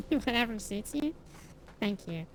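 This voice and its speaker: A woman's voice: fairly high pitch, animated, slow pace, slight background noise, rough recording. A woman streamer, not a native English speaker, chatting casually with her viewers. She rambles with lots of fillers and restarts, laughing at herself now and then. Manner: self-deprecating, laughs at herself. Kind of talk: casual chat with stream viewers while gaming.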